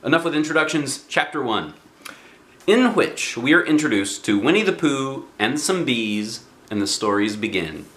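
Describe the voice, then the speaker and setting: A man reading a children's book aloud in an expressive way, speaking directly to young listeners. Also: medium pitch, moderate pace, reverberant. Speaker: storybook reader.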